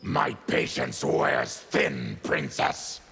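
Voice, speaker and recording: Video game monster warrior: diseased, brooding, menacing, extremely gravelly and slow, faint noise behind. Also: male voice, rumbling low, huge pitch swings, full character voice. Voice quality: gravelly, growling. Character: brutal, brooding diseased monstrous male warrior, former tribune. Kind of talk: video game character dialogue.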